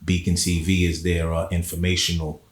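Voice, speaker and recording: A man's voice: sits low, completely flat delivery, fairly quick, echoey room. A man, a poet and spoken word artist, talking casually as an interview guest with a laid-back, rambling manner full of fillers. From South Florida.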